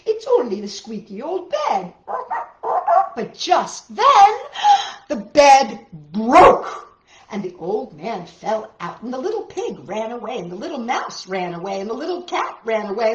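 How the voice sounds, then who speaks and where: A woman reads aloud to children as a story-time host. Her voice is fairly high and very animated, with simple, dramatically stressed phrases. The sound is roomy and a little dull. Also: normal speed. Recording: roomy, dull lo-fi home recording.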